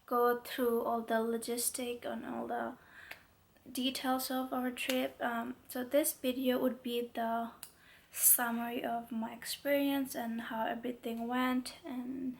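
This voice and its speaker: A woman's voice: a little high, flat delivery, slow pace, gravelly. A young woman vlogging casually to camera with a stuffy, congested voice, chatty and rambling with many fillers. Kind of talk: casual talking-to-camera vlog.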